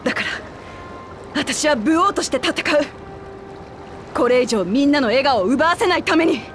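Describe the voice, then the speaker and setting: A passionate young lioness queen of a fantasy game, speaks in a fairly high voice. Her talk is rough, tomboyish and earnest, delivered as dramatic game acting. Clear background ambience sits behind her. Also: animated, normal speed, slight background noise. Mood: grave, resolute.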